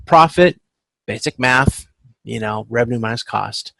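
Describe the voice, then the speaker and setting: Gravelly-voiced man teaching, halting delivery. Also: medium pitch, pushed and bright, no echo, rough recording. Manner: halting, self-correcting, often checking with "okay?". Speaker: instructor teaching supply chain management. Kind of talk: informal lecture walking through spreadsheet calculations. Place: online video conference with screen share.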